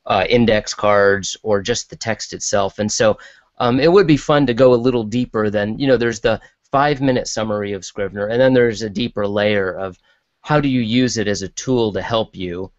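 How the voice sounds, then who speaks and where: A man in a casual chat as a podcast guest, talking in a rambling, halting way and often interrupting himself. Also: lowish voice, no echo, muffled recording.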